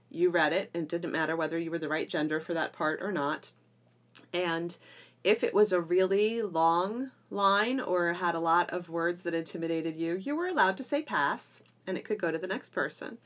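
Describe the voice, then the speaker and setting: A woman with a slightly low-pitched voice talks casually as a podcast co-host. She sounds like an enthusiast explaining something she enjoys, in an informal conversational style. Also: a bit bright. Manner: enthusiastic, self-described geeky. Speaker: podcast co-host and drama teacher. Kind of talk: casual podcast chat about homeschooling.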